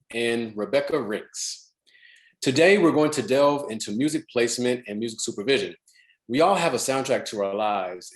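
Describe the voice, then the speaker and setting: A man in his thirties speaks into a webcam from his living room. He hosts a video-call panel, upbeat and welcoming, and his voice sits in the middle range. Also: medium pitch. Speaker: host.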